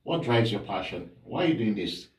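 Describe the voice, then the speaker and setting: A man's voice: fairly low pitch, big pitch swings, brisk. A man hosting an interview, encouraging his guest with frequent short acknowledgements. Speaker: interview host. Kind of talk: casual interview. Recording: roomy sound, mic at a distance.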